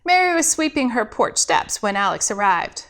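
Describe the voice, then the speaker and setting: A woman's voice: on the high side, big pitch swings, normal speed, fairly bright tone. A woman reading a scripted story expressively, as a pastor telling a tale.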